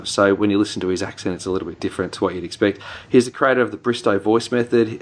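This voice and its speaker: Kiwi-accented man, lowish voice, laid-back podcast host, lots of ums. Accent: New Zealand. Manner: relaxed, rambling, with many fillers. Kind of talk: casual podcast chat.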